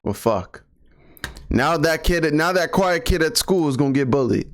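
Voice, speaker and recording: A man's voice: a little high, expressive, normal speed. A man giving casual, profane reaction commentary, incredulous and joking, slangy and repeating himself for emphasis. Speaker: reaction video host.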